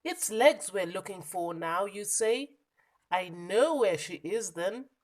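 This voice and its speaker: A woman's voice: mid-range voice, animated, slow pace. A woman voicing an eager, confident but muddled butterfly from a children's storybook, coaxing and encouraging with emphasis. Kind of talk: children's storybook character reading.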